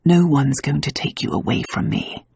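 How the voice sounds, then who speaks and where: A woman voicing a protective man who murmurs low and soothingly to comfort his lover. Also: steady tone, unhurried, darkish tone.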